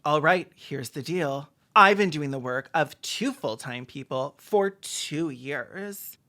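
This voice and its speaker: A commentator acting out an overworked woman employee venting online. The delivery is exaggerated and indignant, swinging upward in pitch and volume as the post is read aloud. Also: lively intonation, slow, sharp tone, heavily acted. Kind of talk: dramatic reading of a social media post.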